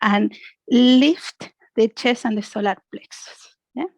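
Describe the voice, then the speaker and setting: A woman's voice: a little high, slow, dry recording, low-quality recording. Woman guiding a dry-sounding relaxation class. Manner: gentle, instructive, often adding a questioning "yeah?". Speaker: yoga and relaxation instructor. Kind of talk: guided relaxation class with breathing and imagery.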